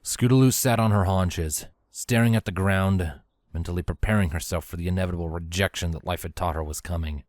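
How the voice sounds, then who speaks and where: Guy narrating a story, lowish voice, really dry close recording. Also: brisk, darkish tone, no room sound.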